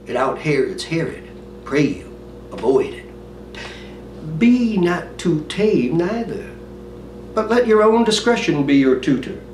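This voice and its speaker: A man playing an earnest, brooding prince, reciting a Shakespearean monologue in a declamatory way with weighty pauses. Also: a little high, very animated, a little mellow. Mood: earnest, impassioned. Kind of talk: dramatic Shakespearean stage monologue. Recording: roomy, distant mic, faint noise.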